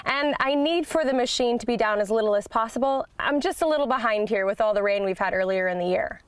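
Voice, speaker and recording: A woman acts out a no-nonsense female customer in a scripted business call. Her voice sits a little high and is recorded very dry. She is plainspoken and matter-of-fact, like someone with a busy job site to run. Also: quick pace, clean tone, dry recording, lightly acted. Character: busy, no-nonsense woman construction equipment manager. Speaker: customer, construction equipment manager. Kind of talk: scripted business sales call role-play.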